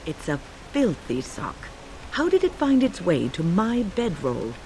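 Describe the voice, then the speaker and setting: An older woman mage in a fantasy video game. She is prim and fastidious, scolding with swooping, expressive pitch. There is a light hiss under the dialogue. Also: exaggerated voice. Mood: scolding disbelief. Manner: scolding, fussy.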